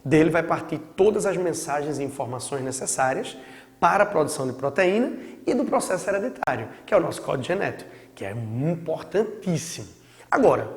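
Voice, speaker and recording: A hoarse man with a Brazilian Portuguese accent talks through a biology lesson in a loose, chatty way, often tagging his points with quick check-ins. His voice sits a little high for a man. It rings around a room with long, echoing reverb. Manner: chatty, with frequent check-in tags. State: hoarse from a sore throat. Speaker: biology teacher. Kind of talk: casual recorded lecture.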